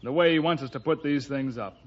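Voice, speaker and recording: An actor playing a defensive working-class builder with folksy, ungrammatical, wary speech in a radio western. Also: male voice, medium pitch, fairly quick, close to an everyday voice. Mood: grumbling. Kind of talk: old-time radio western acting. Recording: dull vintage radio recording.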